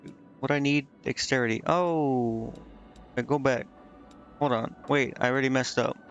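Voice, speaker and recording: A man's voice: medium pitch, steady tone, moderate pace, dull audio, faint music behind. A man streaming a video game, thinking aloud in casual commentary and muttering indecisively to himself.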